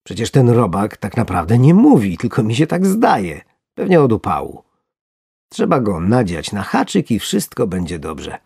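A storyteller voicing a bewildered, flustered male angler from a storybook, muttering to himself and trying to stay calm. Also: medium pitch, normal speed, gravelly, slightly rough, no room sound, clean studio sound, full character voice. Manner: muttering, self-soothing.

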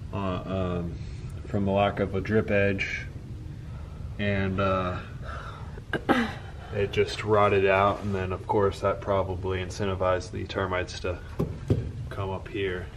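A man in his thirties chatting casually as he explains repair work outdoors, halting and joking as he goes. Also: low-pitched, level tone. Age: 30s. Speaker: vlog host doing barn renovation. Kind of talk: casual chat while working, explaining repairs. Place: outdoors beside a metal barn. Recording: noisy outdoor camera audio.

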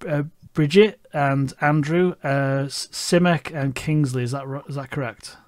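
A man's voice: on the high side, measured pace, no echo. Scouse man hosting a podcast, dry sound. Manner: rambling, many restarts and fillers. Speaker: podcast host. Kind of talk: casual interview chat.

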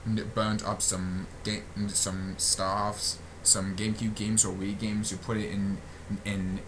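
A young man giving a casual channel update as a gaming video creator, halting and often repeating his phrases, on a built-in computer microphone. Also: fairly low pitch, flat delivery, noisy background. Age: young adult.

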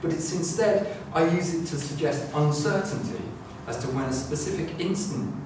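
A middle-aged man lectures to a class, stumbling over restarts and fillers as he works through his ideas. He is picked up from across a very echoey room, and a clear hum of room noise sits under his voice. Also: fairly high pitch. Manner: halting, many restarts and fillers. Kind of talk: academic lecture. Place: classroom with a screen, indoors. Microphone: far camera. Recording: echoey room, far from the camera mic.

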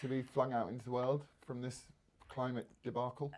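A man's voice: mid-range voice, little pitch movement, a little fast, darkish tone. A man hosting a casual political chat in a small studio, wry and joking as he asks the panel questions. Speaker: host. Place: small studio with leather armchairs.

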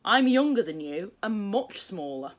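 A woman's voice: medium pitch, sing-song, measured pace. A woman voicing a hot-tempered but caring young English boy, an older brother in a storybook, with a childish manner. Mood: indignant, furious.

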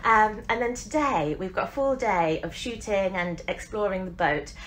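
A woman's voice: medium pitch, moderate pace, a little hiss or hum, low-quality recording. A woman in her forties chatting casually to camera as a travel vlogger, gushing with enthusiasm. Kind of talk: casual vlog chat to camera. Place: cruise ship decks, venues and cabin. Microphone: phone at arm's length. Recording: loud music and busy crowd behind.

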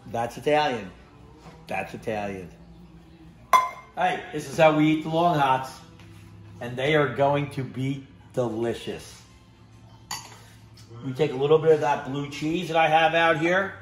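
A man's voice: a little high, a little slow, pauses often, soft background music. Gushing middle-aged man cooking at home. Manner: enthusiastic, repeats catchphrases, sniffs and tastes food while talking. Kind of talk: casual cooking vlog. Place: home kitchen indoors, then outdoor deck at a grill. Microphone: handheld phone camera.

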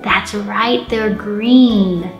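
Lady librarian doing storytime for little kids, slow and animated, echoey room. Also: medium pitch, muffled recording, over music. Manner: animated, encouraging, addressing young children. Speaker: children's librarian and storytime host. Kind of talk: children's storytime read-aloud.

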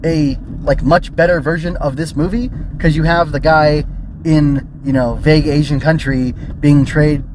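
A man's voice: on the high side, steady tone, normal speed, noisy background. A man hosting a casual solo review podcast, rambling and thinking aloud with tentative digressions. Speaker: podcast host and film reviewer.

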